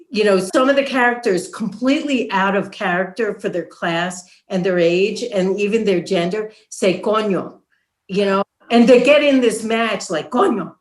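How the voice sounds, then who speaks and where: A middle-aged or older woman telling anecdotes casually and with amusement as a panelist on a video call. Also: mid-range voice. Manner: amused, conversational. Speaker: panelist, academic. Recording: roomy echo on video call.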